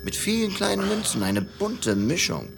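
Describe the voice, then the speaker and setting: A man's voice: high voice, sing-song, normal speed, gravelly, crowd noise, exaggerated voice. A man playing an offhand, soothing bartender in a horror audio drama, talking in short, clipped reassurances. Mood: dismissive, calm. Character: offhand, soothing male bartender, brushing off worries.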